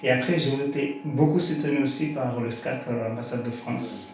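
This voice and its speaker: A man's voice: mid-range voice, moderate pace. A man with a Comorian accent and Shikomori as his mother tongue, giving conversational, explanatory interview answers as a guest. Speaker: interview guest, slam poet. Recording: very echoey room with faint noise.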